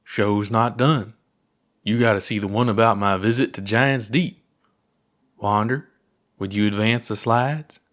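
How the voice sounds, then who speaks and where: A goofy, easygoing alien astronaut, acted out by a male reader telling tales in a storybook. The delivery is theatrical and playful, with words stretched out slowly. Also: lowish voice, fairly even intonation, fairly bright tone. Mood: laid-back.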